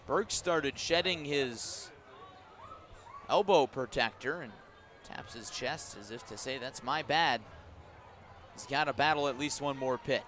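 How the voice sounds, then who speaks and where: Higher-pitched man calling baseball on radio. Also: bright, forceful tone, a little hiss or hum. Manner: descriptive, unhurried between pitches, punchier on the action. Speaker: baseball play-by-play announcer. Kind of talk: live radio sports commentary.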